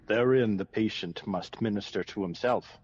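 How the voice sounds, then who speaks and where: An actor playing a grave, cautious court doctor in a Shakespearean audio drama, measured and deferential, in a dull recording. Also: male voice, on the low side, a little mellow, a little hiss or hum, lightly acted. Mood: grave, careful.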